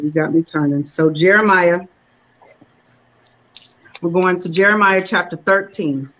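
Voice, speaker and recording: A young woman preaches to her congregation over a video call, her voice coming through a webcam with slightly dull, muffled sound. She slows down to read scripture aloud, then explains it in loose, conversational preaching talk. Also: fairly low pitch, a bit bright. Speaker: reverend preaching. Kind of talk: sermon. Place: room at home.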